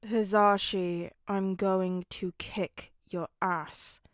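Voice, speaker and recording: A woman voicing a weary, grumpy dad who grumbles in a dry, exasperated deadpan for an audio story. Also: medium pitch, extremely slow, no room sound.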